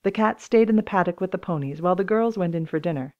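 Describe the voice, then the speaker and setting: A woman's voice: lowish voice, fast talker, darkish tone, lo-fi sound. A woman reading scripted children's story narration as an audiobook reader.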